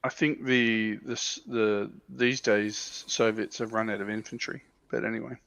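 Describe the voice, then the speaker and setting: A man's voice: on the low side, flat delivery, moderate pace, creaky, a bit bright. A man co-hosting a livestream, casually explaining tabletop game units as an informal, somewhat hesitant enthusiast on slightly dull audio. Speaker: livestream co-host.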